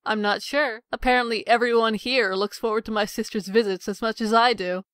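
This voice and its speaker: A woman's voice: on the high side, fairly even intonation, fairly quick, fairly bright tone, smooth, dry recording, clean studio sound, natural voice. A woman voicing a sarcastic, mocking younger sister. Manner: sarcastic, teasing.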